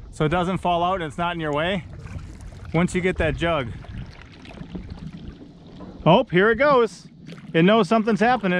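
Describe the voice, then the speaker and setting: A middle-aged man filming outdoors on a lake with an action camera, excitedly coaching his young son through landing a fish. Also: high voice, slight background noise. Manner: encouraging, excited, coaching a child. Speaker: vlogger. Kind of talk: casual coaching commentary.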